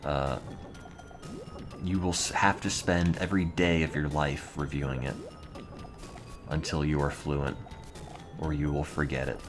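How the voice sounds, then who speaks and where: A man's voice: extremely low pitch, steady tone, unhurried, long pauses. A young adult man streaming and answering his chat in a dry, rambling, offhand way. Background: music playing.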